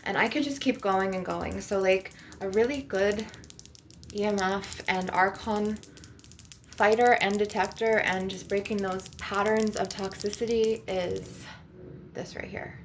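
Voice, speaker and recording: Woman, ASMR spiritual healer, gravelly fry, blunt and assertive, informal rambling, faint background noise. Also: medium pitch, fairly even intonation, a little slow.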